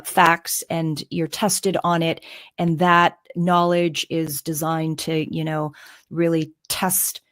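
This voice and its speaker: A woman's voice: deep voice, no echo, clean studio sound. A middle-aged woman from Canada, a former news anchor answering as a guest in a live interview, earnest and emphatic as she tells a story.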